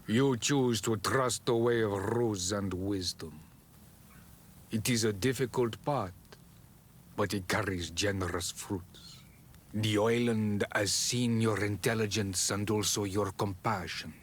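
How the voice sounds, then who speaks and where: An actor playing a wise, solemn elder of an island tribe in a fantasy game, speaking in a measured, ceremonial way. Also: male voice, fairly low pitch, a little hiss or hum, natural voice. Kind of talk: fantasy video game cutscene acting.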